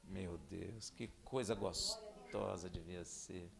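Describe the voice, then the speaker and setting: A man from Minas Gerais with a Brazilian accent, preaching and teaching scripture in a conversational way, with rhetorical questions, dramatic emphasis and hushed asides. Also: deep voice, fairly even intonation, slow pace, vocal fry, a crowd in the background. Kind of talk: expository sermon with scripture reading.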